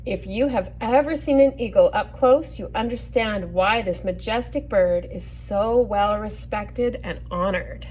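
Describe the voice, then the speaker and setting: Female story time reader for young children, medium pitch, gently teaching as she reads and chats to listeners. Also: measured pace, hardly any pauses, a little hiss or hum, poor audio. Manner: gently instructive, puts questions to the listeners. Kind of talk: reading a picture book aloud with asides to children.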